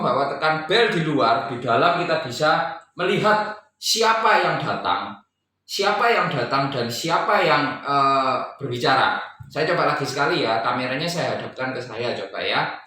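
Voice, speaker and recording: A man's voice: a little high, expressive, moderate pace, bright, forceful tone. Echoey Indonesian man giving a tutorial. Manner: explanatory, checks in with frequent "ya". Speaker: installer demonstrating a product setup. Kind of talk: casual step-by-step tutorial. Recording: echoey room, distant mic, faint noise.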